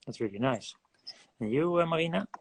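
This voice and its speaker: A man's voice: a little high, lively intonation, brisk, no echo. A man hosting a casual interview, asking friendly short questions. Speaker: host.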